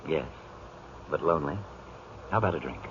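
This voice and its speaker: An American actor playing a suave, idle playboy with a flirtatious manner in 1940s radio style. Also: male voice, very deep voice, brisk, fairly bright tone. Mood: breezy. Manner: smooth-talking, flirtatious. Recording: dull, hissy 1940s radio recording.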